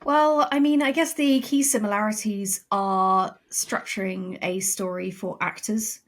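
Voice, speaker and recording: A woman's voice: medium pitch, fairly even intonation, a little slow. A woman speaking as a guest on a podcast, talking in a thoughtful, conversational way with frequent fillers. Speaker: creative director and game writer, guest. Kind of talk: casual podcast interview.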